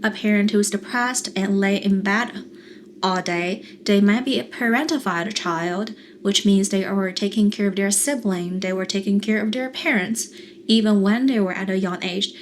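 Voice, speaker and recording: Young therapist lady, mandarin accent, gentle and earnest, mid pitch, a bit of faint hiss behind. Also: moderate pace. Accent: Mandarin Chinese. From China. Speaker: therapist and coach. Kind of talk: calm coaching monologue to camera.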